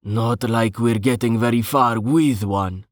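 A man's voice: medium pitch, unhurried, no room sound, clean studio sound, lightly acted. A man voicing a sardonic, grinning male adventurer who teases sarcastically in a fantasy audiobook. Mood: teasing. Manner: sarcastic, teasing.

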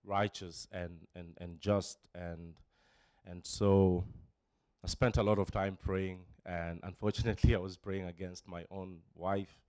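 A man in his forties from Kenya shares his testimony with a congregation. His voice sits very low and sounds smooth and resonant. It is close and dry on the microphone, broken by pauses and restarts. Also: flat delivery, a little mellow, dry recording. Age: 40s. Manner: halting, many fillers and restarts, emotional. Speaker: church member giving testimony. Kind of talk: personal testimony. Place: church. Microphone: handheld microphone.

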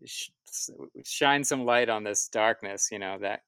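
A man with a low voice and a gravelly fry at the edges talks through his stories as an interview guest. He is reflective and halting, circling back over his words. The sound is very dry and close. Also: bright, forceful tone, no room sound. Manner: halting, reflective, self-repeating. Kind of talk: casual interview answers, storytelling.

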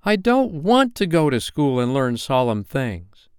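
Man acting as defiant young boy, raised pitch, blunt, passionate, cocky, children's audiobook. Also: lively intonation, unhurried, clear, resonant tone, no echo, studio quality. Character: cocky, stubborn boy who refuses to grow up.

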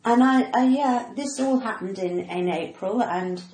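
A woman's voice: fairly high pitch, a little slow, darkish tone. A middle-aged British woman with a cut-glass English accent, a comedian chatting in an interview with cheeky, self-mocking banter and theatrical repetition. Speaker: guest, stand-up comedian. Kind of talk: casual bantering interview chat. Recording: echoey room, clear background noise.

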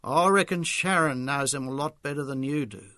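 A man voicing a motherly older Australian woman, a sad and gentle neighbour in a story. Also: mid-range voice, normal speed, no room sound, clean studio sound, lightly acted. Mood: motherly, wistful. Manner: wistful, gentle, reluctant.

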